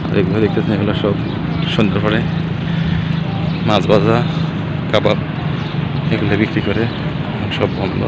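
A man's voice: fairly low pitch, level tone, moderate pace, long pauses. A man with a Bangladeshi accent chatting casually as a vlogger, recorded outdoors on a handheld camera. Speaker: travel vlogger, customer. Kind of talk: casual chat and haggling. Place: outdoors at roadside stalls by a beach. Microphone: handheld camera, speaker behind it. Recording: loud outdoor street and traffic noise.

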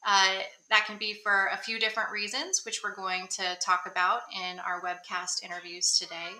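A young woman introduces a webcast in a measured, informative way. Her voice is very bright and sharp, and it rings with echo off the walls of a small room picked up by a webcam. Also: medium pitch, little pitch movement. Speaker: co-host. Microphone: webcam on video call.

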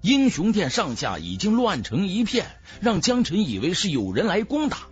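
A man narrating an audiobook in a dramatic, theatrical, expressive storyteller's manner. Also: high voice, normal speed, husky, soft background music.

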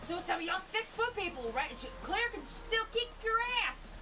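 Bubbly high-voiced woman streamer. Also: moderate pace. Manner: bubbly, excitable, laughs often. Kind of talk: casual live-stream chat. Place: gaming room lit with colored lights. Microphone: desk mic with headset. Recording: music under the voice. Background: background music.

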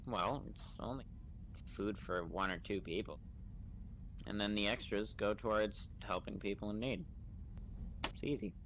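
A man with a fairly low but bright, crisp-edged voice chats casually in character. He is role-playing in an online game, recorded over voice chat with faint noise. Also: measured pace, pauses often, rough recording. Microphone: game voice chat microphone.

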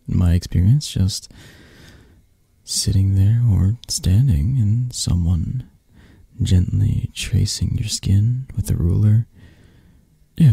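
A possessive yet tender mafia boss, acted by a man for an ASMR romance roleplay, murmurs intimately and teasingly. The delivery is slow and halting, with a husky edge and a close, dry sound. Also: on the low side, mellow, no echo, full character voice.

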